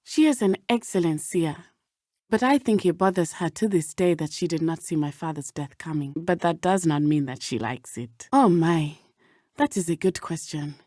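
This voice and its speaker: A woman with a southern African accent playing an earnest, brave teenage witch in a video game, speaking in measured, formal, precise diction. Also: low-pitched, a little mellow, no echo, clean studio sound. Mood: thoughtful. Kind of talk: video game character dialogue.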